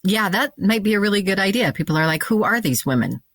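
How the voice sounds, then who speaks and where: A woman's voice: medium pitch, quick pace. Playful woman in her fifties, podcast co-host. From Montana, USA. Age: 50s. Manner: playful, self-deprecating, animated. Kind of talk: casual podcast chat.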